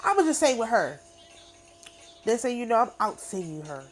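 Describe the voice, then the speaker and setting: A woman's voice: a little high, big pitch swings, moderate pace, soft background music. A middle-aged woman talking casually to camera in an emphatic, repetitive, boastful way. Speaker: spiritual channel host. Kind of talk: casual spoken monologue.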